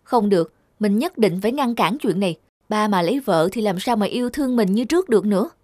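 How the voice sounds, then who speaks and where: A woman's voice: mid-range voice, animated, quick pace, darkish tone, dry recording, clean studio sound, close to an everyday voice. A woman voicing a stubborn, jealous little schoolgirl in a cartoon, pleading and sulky. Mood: sulky worry. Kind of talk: cartoon dub acting. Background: music.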